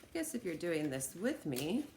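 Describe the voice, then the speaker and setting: Casting: American female teacher, lower register, informal and encouraging instructional delivery, light background noise. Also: sing-song, moderate pace, a little mellow. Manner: encouraging, conversational. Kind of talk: informal read-aloud and craft demonstration for students.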